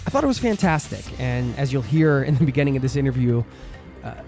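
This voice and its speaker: A man's voice: mid-range voice, big pitch swings, fast talker, over music. Upbeat male podcast host. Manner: upbeat, friendly, joking with guest. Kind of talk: scripted podcast intro, then casual interview chat.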